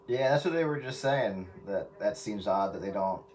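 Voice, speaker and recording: A man's voice: on the low side, expressive, normal speed. A man chatting casually as a participant in a radio round-table. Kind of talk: casual chat. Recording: distant mic, heavy radio noise.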